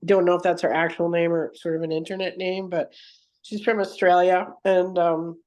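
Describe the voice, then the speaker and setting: A woman's voice: on the low side, level tone, moderate pace, darkish tone. A woman guest on a podcast, telling her story at length in an earnest, digressive way and often correcting herself mid-sentence. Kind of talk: conversational interview storytelling.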